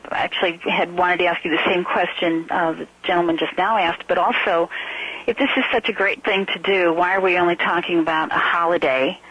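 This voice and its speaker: A woman's voice: fairly low pitch, fairly bright tone, a little hiss or hum. American woman reporter on a dull phone line. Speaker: newspaper reporter. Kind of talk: asking a question on a press call.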